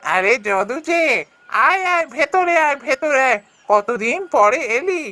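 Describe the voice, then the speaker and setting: A woman voicing a greedy, sly and excitable male village woodcutter in a cartoon fairy tale, with exaggerated delivery. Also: high voice, measured pace, full character voice. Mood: hearty welcome. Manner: exaggerated, animated.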